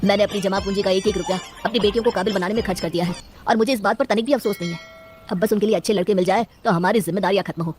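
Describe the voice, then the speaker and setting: A woman's voice: mid-range voice, steady tone, very fast, darkish tone, faint music behind, close to an everyday voice. A woman voicing a principled middle-aged father in a cartoon story, earnest and firm as he protests. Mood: indignant, proud. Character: devoted, principled middle-aged father defending his daughters.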